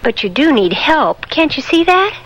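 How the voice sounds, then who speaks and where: Sensible housewife friend, noisy old recording. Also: female voice, sits high, sing-song, moderate pace, a bit bright, lo-fi sound. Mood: worried, coaxing. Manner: sympathetic, sensible, coaxing. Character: practical, concerned young housewife, the heroine's friend. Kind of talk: 1970s radio drama acting.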